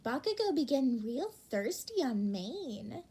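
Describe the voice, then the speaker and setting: Woman as a high, bubbly teasing teenage girl. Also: very animated, measured pace, dark tone, full character voice. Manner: gushing, teasing, slangy.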